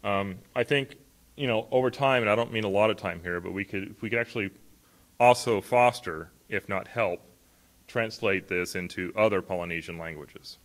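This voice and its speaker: A man's voice: sits low, fairly bright tone. A middle-aged man speaking at a podium in a large auditorium, talking conversationally with many fillers and restarts. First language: not a native Hawaiian speaker. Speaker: conference speaker. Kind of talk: conference talk with slides. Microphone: podium mic, filmed from far back.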